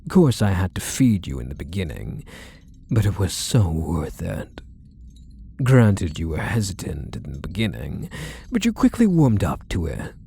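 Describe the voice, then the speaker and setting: A possessive yandere husband, acted by a man, murmurs in an intimate ASMR roleplay with doting, quietly menacing condescension. His voice is mellow and creaky, with pitch that rises and dips widely. He coaxes softly and lets his endearments linger. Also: huge pitch swings, unhurried, slight background noise, heavily acted. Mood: tender, possessive. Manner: soft, coaxing, condescending endearments, lingering phrases.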